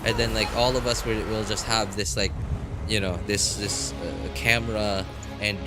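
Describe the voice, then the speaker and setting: A man's voice: lowish voice, fairly even intonation, moderate pace. A man streaming a game, chatting casually and rambling, with many restarts and filler words. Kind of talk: casual chat while gaming on a live stream. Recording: loud game music behind. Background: loud game music.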